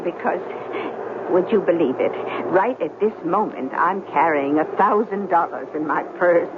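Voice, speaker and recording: A woman acts out a kindly, trusting old lady in a radio play. She is fluttery and earnest, often hesitating. The sound is a thin old broadcast with clear noise behind it. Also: on the low side, normal speed, phone-quality sound, close to an everyday voice. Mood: confiding, earnest. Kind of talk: 1970s radio drama acting.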